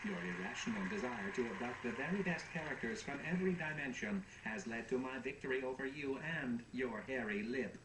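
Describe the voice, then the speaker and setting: A man's voice: medium pitch, fairly quick, few pauses, background noise, close to an everyday voice. Man as smug robot rival, echoey. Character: smug, calculating male robot employee turned rival.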